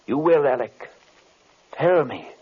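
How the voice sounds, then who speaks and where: An actor playing a solemn, commanding magician in old-time radio drama style, speaking in an incantatory way. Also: male voice, medium pitch, lively intonation, slow pace, husky, narrow, tinny audio. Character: commanding male magician, mesmerist with paternal menace.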